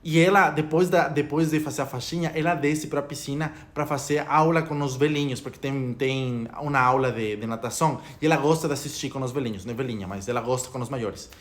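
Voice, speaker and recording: Fast-talking Ecuadorian man, Spanish accent. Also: medium pitch. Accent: Spanish-speaking Ecuadorian accent in Portuguese. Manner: opinionated, rambling with restarts. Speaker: vlogger. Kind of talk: casual talk to camera.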